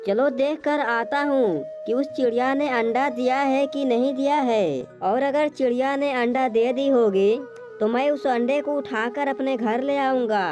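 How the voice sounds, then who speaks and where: A sly male crow from a children's cartoon voiced by a woman speaks in a high voice. The bird is greedy and smooth-talking, putting on friendliness. Music plays behind the speech. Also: unhurried. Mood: scheming, gleeful. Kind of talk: Hindi cartoon moral story acting.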